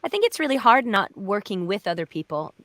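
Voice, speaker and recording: A woman's voice: medium pitch, sing-song, fairly quick, a bit bright, dry recording. A woman chatting casually as a voice actor on a panel. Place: home, on a video call.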